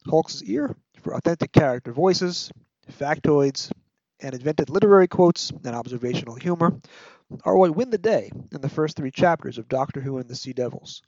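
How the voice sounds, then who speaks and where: A man hosting a podcast with scripted narration, measured, articulate and wry, like a literary review. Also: a little high, expressive, darkish tone, no echo, lo-fi sound. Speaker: podcast host.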